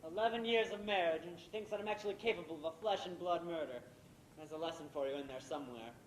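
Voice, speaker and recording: A smug middle-aged husband and playwright played by a man on stage, coolly ruthless and theatrically sardonic. The voice rings in an echoey room with some background rumble, like an amateur recording of a live play. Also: fast, natural voice. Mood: mocking.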